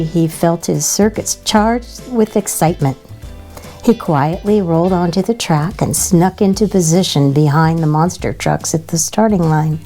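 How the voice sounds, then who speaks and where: A woman narrates a picture book for children. Her voice is fairly low and mellow, and she reads expressively at a very slow pace. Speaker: children's storybook reader.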